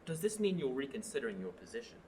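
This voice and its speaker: A teenage actor playing a curt, impatient knight on a quest in a student radio play. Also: female voice, a bass voice, big pitch swings, quick pace, darkish tone, heavily acted. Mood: formal. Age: teens. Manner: curt and bossy.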